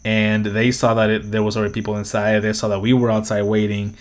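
A young man chats alone on his podcast in a loose, wandering way, with a slightly low voice. He swears freely and laughs now and then as he talks. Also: completely flat delivery, quick pace. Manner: rambling, profane, laughs often. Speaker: podcast host. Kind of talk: casual solo podcast chat.

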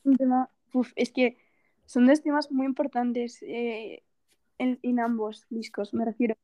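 A woman's voice: on the high side, little pitch movement, unhurried, mellow, dull audio. A young woman interviewing someone in a casual, conversational style.

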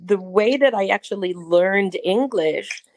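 A woman's voice: medium pitch, measured pace, darkish tone, no room sound, studio quality. A middle-aged woman from Israel with an Israeli accent, a rabbi and cantor answering an interview in an effusive, conversational way with frequent restarts.

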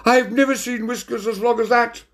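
A man's voice: very high-pitched, steady tone, moderate pace, fairly bright tone, pitched above the performer's own voice. A man voicing haughty, imperious posh lady cats in a storybook, bellowing their lines. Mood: mocking. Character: haughty, shrill posh lady cat customers.

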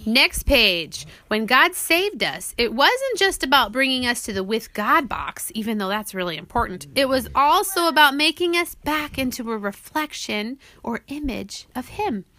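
A woman with a high, bright voice reads her book aloud as a parent would to a child. She is instructive and often asks leading questions. The recording is close and dry. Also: big pitch swings, normal speed, few pauses, no room sound. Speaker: author reading own book aloud and parent. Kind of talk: audiobook narration mixed with teaching a child.

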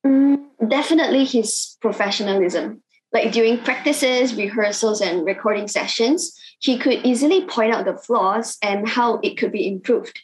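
A woman of twenty from Malaysia, a Cantonese native speaking English, answering interview questions in a soft, hesitant way with frequent ums. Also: a little high, moderate pace, poor audio. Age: 20s. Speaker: singer guest.

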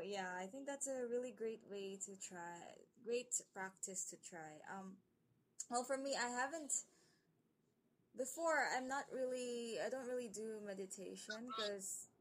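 A woman hosting a podcast interview, agreeable and quick to affirm, laughing easily. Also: on the high side. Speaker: podcast host. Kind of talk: casual interview.

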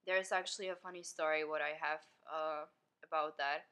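Casting: young woman, English, clear bright tone, easygoing podcast guest who laughs a lot. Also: low-pitched, steady tone, normal speed. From Syria. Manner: chatty, laughs often, non-native English phrasing. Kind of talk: casual chat.

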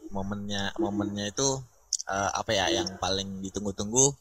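A young man talks in an easy, slangy way and often pauses on fillers. His voice sits low, but it has a bright, forceful edge. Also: slightly rough. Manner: relaxed slangy talk with fillers. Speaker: panel guest. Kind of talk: casual chat.

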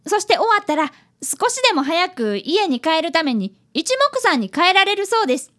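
A woman's voice: very high-pitched, sing-song, normal speed, a bit bright, full character voice. A woman voicing an earnest, polite fifth-grade boy apprentice detective in a radio drama. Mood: earnest, gentle. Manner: eager, polite.

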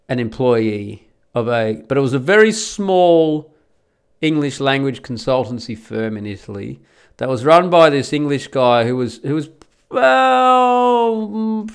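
A man's voice: medium pitch, smooth. An Australian man talking in a blunt, chatty way, with lots of restarts and the odd swear word, giving advice in a casual monologue.

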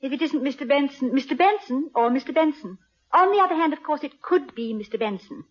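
An actress playing a playful, affectionate, scatty college president's wife in 1950s radio comedy style, with bubbly, flustered chatter. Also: female voice, sits high, animated, a little fast. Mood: excited, flustered. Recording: dull vintage radio, audience murmur behind.

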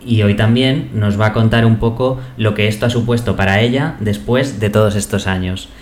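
A man's voice: on the low side, normal speed, echoey room, a little hiss or hum. A man hosting a podcast, friendly and easygoing, asking questions and summing up what his guest says. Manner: friendly, asks questions and sums up. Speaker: podcast host. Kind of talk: casual podcast interview.

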